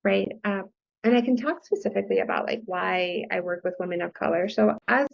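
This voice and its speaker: A woman's voice: mid-range voice, fairly quick, vocal fry, slight background noise. A woman guest whose first language is Spanish, raised on the US-Mexico border, explaining money matters in an enthusiastic interview manner and often tagging sentences with "right?". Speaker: guest, financial coach. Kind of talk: conversational interview. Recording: dull lo-fi podcast audio.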